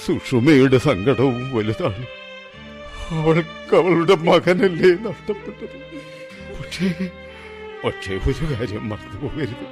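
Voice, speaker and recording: An actor playing a grave, sorrowful old father in a radio drama, halting and emotional in his delivery. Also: medium pitch, expressive, faint music behind. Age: older. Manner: halting, emotional, swelling and hushing. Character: grave, sorrowful elderly father, head of family. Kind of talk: melodramatic radio drama acting.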